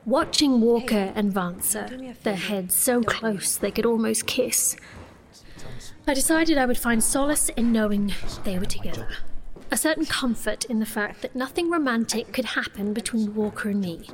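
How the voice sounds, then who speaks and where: A woman's voice: fairly high pitch, normal speed, lightly acted. An actress playing a sheltered, proud young sorceress who tells her own story in an earnest, intimate way in a fantasy audio drama. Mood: reflective. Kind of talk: first-person fantasy audio drama narration and dialogue.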